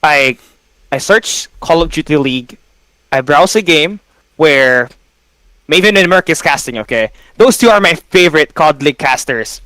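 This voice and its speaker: Smooth-voiced man, dry sound. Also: a little high, lively intonation, moderate pace, bright, forceful tone, dry recording, poor audio. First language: Tagalog. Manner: earnest, with frequent fillers like "you know". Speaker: esports caster, guest. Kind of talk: casual interview answers.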